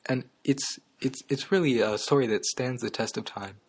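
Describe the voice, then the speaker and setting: A young man hosting a comedy talk show, delivering absurd claims in a halting deadpan full of ums and uhs. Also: medium pitch, slightly rough.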